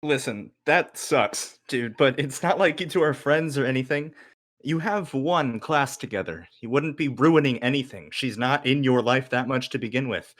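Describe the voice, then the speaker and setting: A man's voice: mid-range voice, normal speed, fairly bright tone, natural voice. A man playing a brash, blunt college friend with a casual, pushy delivery in a table read. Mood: blunt, persuading. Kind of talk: table read of a pilot script.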